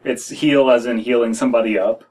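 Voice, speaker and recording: A man's voice: medium pitch, steady tone, a little fast, darkish tone, husky. An American man in his thirties reading a horror story aloud as a podcast co-host, with wry, critical asides. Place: small room indoors.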